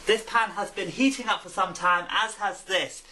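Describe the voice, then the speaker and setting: Deadpan man, distant kitchen mic. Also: sits high, normal speed, a little hiss or hum. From England. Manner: deadpan, mock-pompous. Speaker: home cook. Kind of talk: comic cooking demonstration. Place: home kitchen. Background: frying food sizzling.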